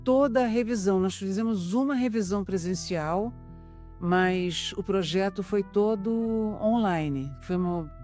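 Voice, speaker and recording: A woman's voice: mid-range voice, measured pace, mellow. Explanatory Brazilian woman over music. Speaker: radio drama cast member. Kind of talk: explanatory interview talk. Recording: music behind the voice.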